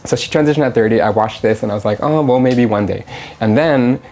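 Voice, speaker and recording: A woman's voice: rumbling low, fast, warm tone, a little hiss or hum. A woman in her thirties, from Mexico and raised in Canada, talking candidly and chattily as an interview guest at her home. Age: 30s. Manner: chatty, candid, laughs easily. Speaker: interview guest, drag performer. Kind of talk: casual interview.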